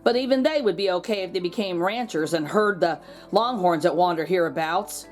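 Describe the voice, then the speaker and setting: A woman's voice: lowish voice, fairly quick, faint music behind, voice pushed down, lightly acted. Storybook cowboy drifter played by a woman: male know-it-all, blunt, folksy, emphatic. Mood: matter-of-fact.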